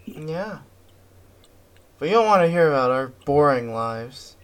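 Guy cohosting a podcast, casual chat, talks pretty slow w drawn out words. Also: on the high side, animated, clean tone. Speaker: podcast co-host.

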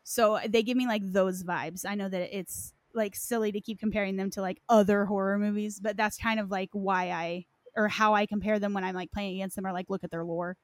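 A woman's voice: medium pitch, fairly even intonation, a little fast, few pauses, no room sound. Casual woman chatting, close dry sound. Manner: hesitant phrasing with frequent "like". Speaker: podcast speaker. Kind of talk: casual conversation about game lore.

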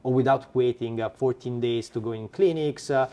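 A man with an Italian accent, a startup founder being interviewed, who talks haltingly with many fillers and restarts. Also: mid-range voice, warm tone. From Italy. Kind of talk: podcast interview.

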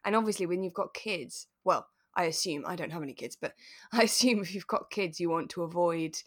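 A woman co-hosting a relaxed discussion podcast, retelling things expressively in a conversational way. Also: fairly low pitch, fairly quick. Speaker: podcast co-host. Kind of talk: relaxed chat reviewing a radio drama.

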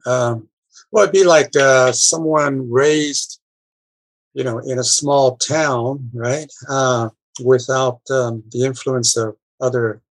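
A man talking in a casual group discussion, halting and full of fillers and restarts. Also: mid-range voice, creaky, dry recording, poor audio. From abroad. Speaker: discussion participant.

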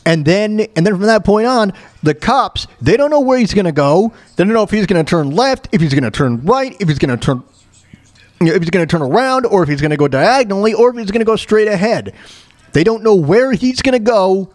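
A man hosting a talk show, giving blunt, emphatic opinions on news clips in a halting, repetitive, rambling way. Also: sits high, lively intonation, a little mellow. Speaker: show host.

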